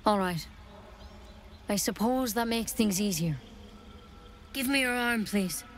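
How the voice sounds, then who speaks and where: A woman's voice: mid-range voice, takes it slowly, a little hiss or hum. A woman playing a stern, worried healer in a video game, speaking in a grave and insistent manner. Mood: brisk, probing. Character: stern, worried female healer druid's apprentice. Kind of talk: video game dialogue acting.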